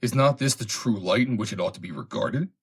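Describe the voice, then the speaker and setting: A man reading a formal historical essay aloud in a measured, deliberate way. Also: on the low side, gravelly, breathy edge. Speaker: reader, narrator.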